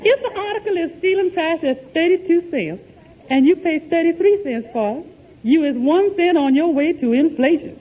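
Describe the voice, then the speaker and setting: A good-humoured housemaid in a 1940s radio comedy, folksy and mock-learned. The voice is very high and swooping, on a muffled old recording. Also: female voice, expressive, moderate pace.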